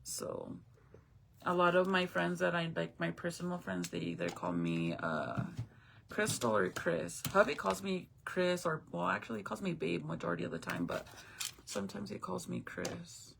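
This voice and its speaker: Casual woman streamer, first language Spanish, gravelly fry, lively up-and-down delivery, swears easily. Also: lowish voice, normal speed, background noise. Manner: chatty storyteller, swears casually. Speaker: live streamer. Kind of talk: casual chat with viewers.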